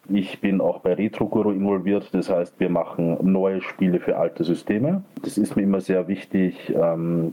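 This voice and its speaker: Austrian man, middle-aged, deep relaxed voice, rambling podcast guest. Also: low-quality recording. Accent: Austrian German. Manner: rambling, many fillers and self-corrections. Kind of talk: casual interview talk.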